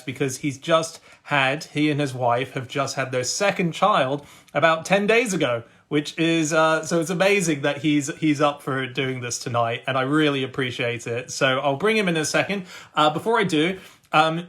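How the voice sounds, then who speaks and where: A man hosting a casual online chat show, talking warmly and hesitantly with lots of ums and uhs over a home video call. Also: mid-range voice. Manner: chatty, hesitant with many fillers. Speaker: host.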